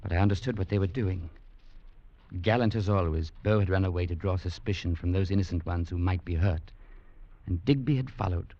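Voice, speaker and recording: A young gentleman telling his tale. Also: low-pitched. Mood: grave. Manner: measured storytelling narration. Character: earnest young English gentleman narrator. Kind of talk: 1940s radio acting. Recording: lo-fi radio recording with music.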